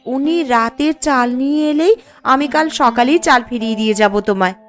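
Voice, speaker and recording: Woman as a high-voiced, pleading village housewife. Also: expressive, fairly quick. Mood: grateful, deferential. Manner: pleading, deferential. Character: needy, polite young village housewife neighbour. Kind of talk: cartoon character dialogue. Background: music.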